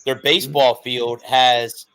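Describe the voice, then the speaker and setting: A man's voice: mid-range voice, fairly even intonation, slow, a bit bright, no echo, low-quality recording. A man co-hosting a casual sports talk show, explaining at length and correcting himself as he goes. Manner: rambling, self-correcting explanations. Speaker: co-host.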